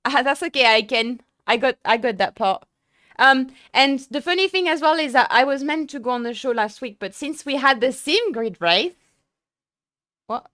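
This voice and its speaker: Chatty, excitable young woman streamer. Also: high-pitched, normal speed, no room sound, clean studio sound. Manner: chatty, excitable, lots of fillers and restarts. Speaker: sim racing streamer. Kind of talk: casual live-stream chat.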